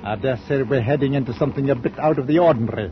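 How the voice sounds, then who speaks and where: An actor playing a stubborn, plain-spoken old ship captain in a 1940s radio drama, terse and pompous. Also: male voice, mid-range voice, very fast, natural voice. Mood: stubborn, commanding. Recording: muffled noisy 1940s radio recording.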